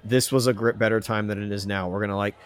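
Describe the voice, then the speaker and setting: A man's voice: on the low side, steady tone, fast talker, clear, resonant tone. A man co-hosting a casual music discussion podcast, ranting with strong opinions and mild stand-in swear words. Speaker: podcast co-host.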